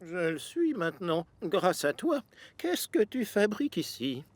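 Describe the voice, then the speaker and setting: A man's voice: high voice, very animated, measured pace, a little hiss or hum, full character voice. A man voicing a grumpy, sleepy, proud steam locomotive, grumbling sardonically in a children's cartoon dub. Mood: grumpy, drowsy.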